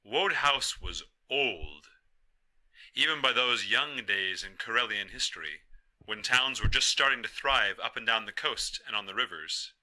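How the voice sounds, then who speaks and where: A man telling a folktale in a measured, emphatic storyteller's delivery. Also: lowish voice, lively intonation, sharp tone, poor audio. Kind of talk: dramatic folktale narration.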